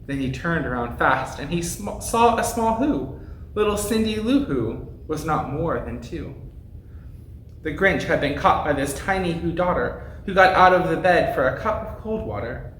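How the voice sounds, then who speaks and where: In an echoey room with faint noise, a man reads a rhyming storybook aloud. His voice sits a little high, and he narrates theatrically, leaning hard on key words. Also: lively intonation, moderate pace, a bit bright. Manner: theatrical, emphatic. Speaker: pastor reading a storybook aloud. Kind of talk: expressive storybook narration in rhyme.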